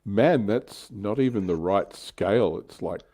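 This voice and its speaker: A man's voice: fairly low pitch, a little slow, dark tone. A man hosting a livestream in casual hobby chat, with a wry, offhand manner. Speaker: livestream host.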